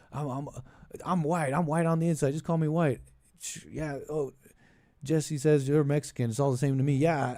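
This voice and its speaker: A man hosting a casual call-in show, thinking aloud in a halting, repetitive, meandering way. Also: mid-range voice, warm tone, no echo, clean studio sound. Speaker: podcast and call-in show host. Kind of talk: rambling casual political commentary.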